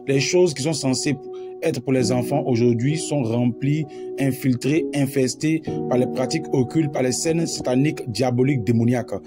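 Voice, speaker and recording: A man delivers an evangelist's warning in an ordinary mid-range voice. He is emphatic and repeats his phrases for weight. Guitar music plays clearly behind him. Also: mid-range voice, moderate pace. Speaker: evangelist, channel presenter. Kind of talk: preaching, warning address.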